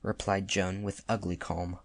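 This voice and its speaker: Narrator for literary audiobook: man, very low voice, close dry recording. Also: little pitch movement, a little slow, creaky, no echo.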